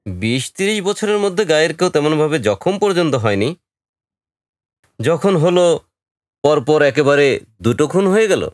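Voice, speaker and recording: Male actor as knowing village informant, lively intonation, dry close sound, audio drama. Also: fairly high pitch, measured pace, long pauses, no room sound. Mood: grave, intrigued. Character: knowing village man recounting local murders.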